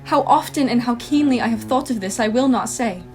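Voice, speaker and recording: A young woman on a school stage playing a hurt young fiancée, speaking with measured, dignified reproach. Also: a little high, darkish tone, soft background music, close to an everyday voice. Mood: sorrowful. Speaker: stage actor. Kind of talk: school stage play acting.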